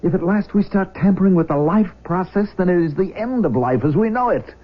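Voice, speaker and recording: An actor playing a flustered, anguished middle-aged father in a 1970s radio drama, earnest and halting. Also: sits high, animated. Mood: alarmed, pleading. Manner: halting, stammering, earnest pleading. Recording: muffled vintage radio broadcast recording.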